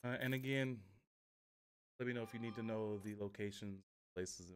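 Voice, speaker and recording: A man giving casual, halting commentary as he livestreams a game and walks viewers through it, thinking aloud. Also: fairly low pitch, fairly even intonation. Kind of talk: casual gameplay commentary and walkthrough. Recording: clearly noisy home stream audio.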